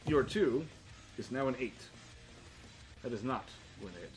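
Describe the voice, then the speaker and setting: A man's voice: mid-range voice, expressive, measured pace, soft background music, low-quality recording. A man narrating a tabletop role-playing game as game master in a conversational way.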